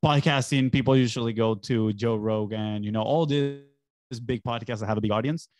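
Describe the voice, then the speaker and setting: A man's voice: mid-range voice, normal speed, darkish tone. A young man from Venezuela, a native Spanish speaker, telling his story in a casual, conversational way as a podcast guest. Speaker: podcast guest, agency entrepreneur. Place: small home studio indoors. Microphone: close boom-arm podcast microphone with headphones.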